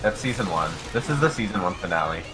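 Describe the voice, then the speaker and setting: A man's voice: deep voice, animated, fast talker, music in the background. A young man as co-host in a casual anime debate, giving short wisecracking replies.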